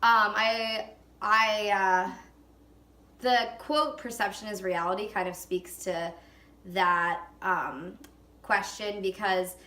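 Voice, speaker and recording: A woman's voice: mid-range voice, steady tone, takes it slowly, sharp tone. A woman in her twenties giving reflective interview answers, hesitant and full of fillers. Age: 20s. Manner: hesitant, with many fillers and hedges. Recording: echoey room.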